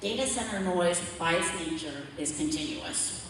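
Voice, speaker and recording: A woman's voice: expressive, moderate pace, fairly bright tone, slight background noise. A woman giving a plain, explanatory slide presentation at a community forum, often checking in with "okay?". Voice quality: low voice. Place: large echoey meeting hall. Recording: echoey hall, voice from far off.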